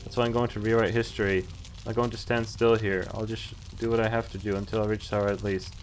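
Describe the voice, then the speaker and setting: A man's voice: lowish voice, level tone, quick pace, rough recording. A man streaming a game, reading visual novel text aloud and commenting in a casual, sweary way. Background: faint game music.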